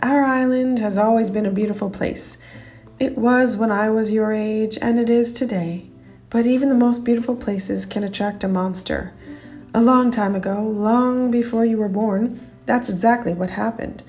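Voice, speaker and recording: A woman voicing a grouchy old man in a children's storybook, speaking gruffly and gravely. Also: fairly high pitch, steady tone, moderate pace, darkish tone, close to an everyday voice. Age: older. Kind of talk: children's storybook character reading.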